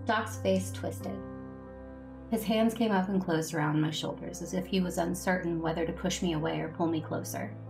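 A woman's voice: lowish voice, fairly even intonation, normal speed, creaky, over music. A woman playing an earnest, devoted female Jedi Master, narrating an audio drama in the first person. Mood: earnest, loving.

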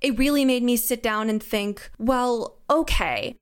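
A woman's voice: on the high side, measured pace, clear, resonant tone. A woman giving reflective, articulate interview answers in an earnest way, as a creative guest. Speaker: podcast guest, audio drama writer and sound designer.

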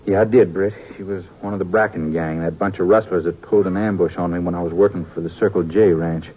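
An actor playing a proud, stubborn American rancher, terse and plain-spoken, in a 1950s Western radio drama. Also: male voice, deep voice, fairly even intonation, fast, close to an everyday voice. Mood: grim. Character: stubborn proud male rancher, determined to fight. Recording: noisy, narrowband 1950s radio recording.

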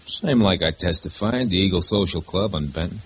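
A sneering, bullying husband, with a husky, lowish voice. He mocks everyone around him and keeps control of the talk. The sound is that of an old radio play. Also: moderate pace. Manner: mocking, sarcastic, domineering. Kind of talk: 1950s radio drama acting.

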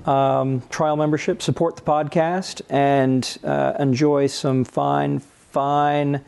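A man co-hosting a podcast, speaking off the cuff in a halting, measured way. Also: on the high side, flat delivery, creaky, darkish tone, smooth. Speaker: podcast co-host. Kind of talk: casual unscripted recommendation.

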